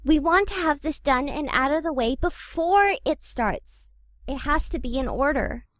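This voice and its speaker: A woman plays a young, bookish female pony from a cartoon-style audio drama. Her voice is high and dryly recorded, with a brisk, orderly manner. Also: lively intonation, fairly bright tone, no echo. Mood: brisk, patient.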